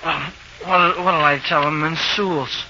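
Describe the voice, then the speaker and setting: Casting: young worldly stable drifter, highish voice, colloquial, muffled vintage radio drama. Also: male voice, steady tone, measured pace, bright, forceful tone, heavily acted. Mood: flustered. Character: young rough-and-ready drifter, former jockey turned stable hand. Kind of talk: 1940s radio acting. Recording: muffled, noisy old radio broadcast recording.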